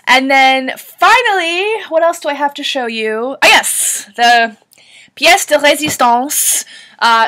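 Bright-voiced woman with a cold. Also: fairly high pitch. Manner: chatty, bubbly, gushing over products. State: sore throat from a cold. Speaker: beauty blogger. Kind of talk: casual product haul video.

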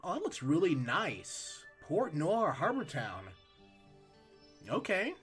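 A man hosting a let's play, reading game text aloud and chatting casually while his voice is going hoarse. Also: a little high, slow, faint music behind. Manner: reads on-screen text aloud, relaxed asides. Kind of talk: casual gameplay commentary.

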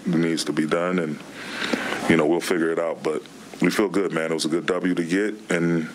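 Very deep-voiced coach, flat and relaxed. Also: male voice, slight background noise. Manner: relaxed, plainspoken, frequent "man" and "you know". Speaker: basketball head coach. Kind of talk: postgame press conference. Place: press room before a team backdrop. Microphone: table microphone.

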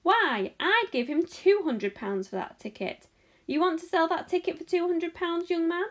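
A woman voicing a brash, pushy woman, loud and incredulous, in a children's audiobook. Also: very high-pitched, expressive, moderate pace, pitched above the performer's own voice. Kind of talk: children's audiobook character acting.

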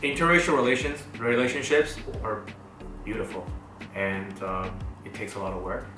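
A man's voice: fairly low pitch, moderate pace. A man in his thirties whose first language is Mandarin, chatting casually on a couch as co-host, with many fillers and restarts. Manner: many fillers and restarts, animated hand gestures. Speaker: co-host of a couple's channel. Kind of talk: casual Q&A chat. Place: bright living room, sitting on a couch. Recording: roomy, distant sound with background music.

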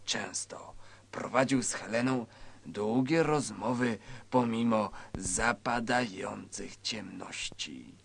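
A man narrating a spoof crime story in a theatrical, deliberate, mock-dramatic style. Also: fairly low pitch, vocal fry, breathy edge.

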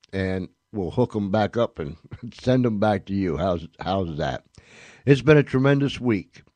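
A man's voice: fairly low pitch, a little mellow, no echo. A man hosting a radio show, chatting casually to listeners in a folksy way and repeating phrases for emphasis. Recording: music under the voice. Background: music.

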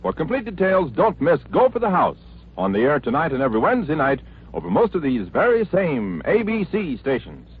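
An American man announces in scripted 1940s radio style. His voice is fairly high and swings widely in pitch. The sound is thin and band-limited, like an old broadcast. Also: huge pitch swings, fairly quick, breathy edge. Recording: tinny, noisy 1940s radio recording.

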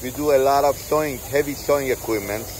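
A man's voice: mid-range voice, darkish tone. A man interviewing an exhibitor on a trade show floor, stopping now and then to hunt for the right word. Faint noise from the hall sits under his voice, picked up by a handheld camera. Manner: halting, searching for words. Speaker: tool company owner and host.

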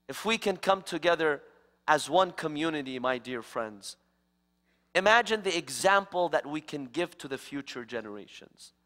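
A middle-aged man preaching in a large church sanctuary, his high, bright voice ringing out with long reverb around it. He speaks slowly and emphatically, with dramatic pauses. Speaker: guest preacher. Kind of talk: interfaith sermon. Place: large church sanctuary, standing before the congregation.

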